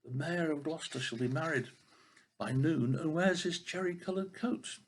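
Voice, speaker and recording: A man's voice: fairly high pitch, normal speed, slight background noise, voice pushed up, close to an everyday voice. An older man voicing a poor, worn-out old tailor in a storybook, lamenting in old-fashioned words. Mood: weary lament.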